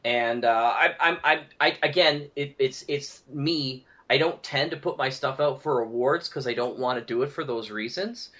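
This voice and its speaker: A man's voice: medium pitch, normal speed, hardly any pauses, fairly bright tone. A Canadian man chatting casually as a podcast guest, telling anecdotes in a rambling way and often repeating his phrases. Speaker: podcast guest, audio drama producer. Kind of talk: casual interview chat, anecdotes.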